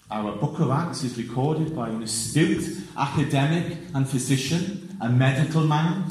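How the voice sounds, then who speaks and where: Welsh male preacher, earnest and emphatic, somewhat high voice, heard from a distance in a very echoey church. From South Wales. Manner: earnest, building to emphatic declarations. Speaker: visiting preacher. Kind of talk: sermon. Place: church sanctuary.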